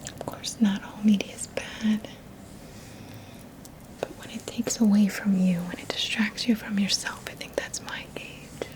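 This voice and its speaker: Slow whispering woman, ASMR healing session. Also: mid-range voice, steady tone, poor audio. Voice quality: breathy, unvoiced whisper. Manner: slow, soothing, repeats words and phrases in a trailing murmur. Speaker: Reiki teacher and ASMR host.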